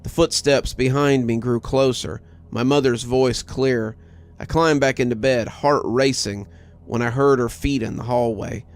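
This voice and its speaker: A man reading a scripted first-person horror story as its narrator. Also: medium pitch. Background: music.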